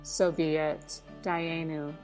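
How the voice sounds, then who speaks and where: A woman reading her own literary essay in measured, deliberate short phrases. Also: low-pitched, flat delivery, over music. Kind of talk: scripted literary narration of a personal essay.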